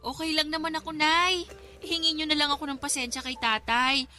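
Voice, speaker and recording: A woman's voice: high voice, fairly even intonation, fairly quick, sharp tone. A woman playing a dutiful young daughter in a radio drama, respectful and emotional, with a Filipino accent. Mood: reassuring. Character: dutiful young daughter working abroad, hiding hardship. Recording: music under the voice.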